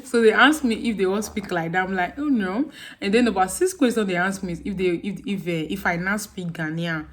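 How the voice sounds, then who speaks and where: A woman's voice: medium pitch, a little fast. A young woman with a Nigerian accent, chatting casually to camera on her vlog. She acts out her friends' questions with laughter. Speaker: vlogger.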